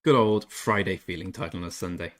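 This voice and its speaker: A man's voice: sits low, a little fast, fairly bright tone. A young English man chatting casually on a game stream, reading chat and joking about himself. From England. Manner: chatty, self-deprecating, reads chat aloud.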